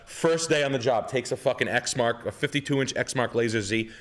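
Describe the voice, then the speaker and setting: A man's voice: mid-range voice, fairly quick. A man, telling rambling, profane stories on a podcast. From New England. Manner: profane, rambling, animated storyteller. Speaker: podcast host. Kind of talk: casual storytelling.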